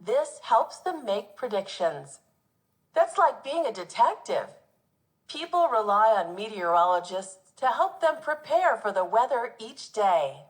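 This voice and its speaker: A woman teacher reading a nonfiction children's book aloud in an expressive, instructive way for young pupils. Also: fairly high pitch, a little slow.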